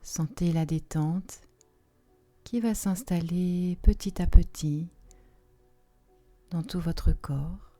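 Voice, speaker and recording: A woman leading a guided relaxation meditation in a soft, soothing, unhurried manner with long pauses. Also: on the low side. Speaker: yoga nidra relaxation guide. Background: soft music.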